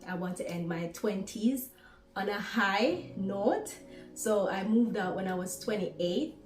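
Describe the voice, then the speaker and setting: A woman's voice: fairly low pitch, darkish tone. A Jamaican woman in her late twenties chats loosely as she cooks, circling back and restarting her thoughts. Her voice sounds roomy and a little distant from the mic. Faint music plays underneath. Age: 20s. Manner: chatty, rambling, with restarts. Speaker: small YouTuber. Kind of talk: casual talk while cooking. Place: home kitchen.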